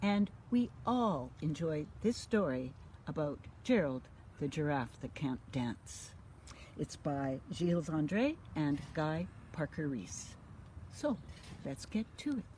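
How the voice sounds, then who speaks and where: A woman's voice: low voice, big pitch swings, takes it slowly, warm tone, slight background noise. An older woman reading a children's bedtime story expressively, with a sing-song lilt and emphatic swells. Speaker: storybook reader.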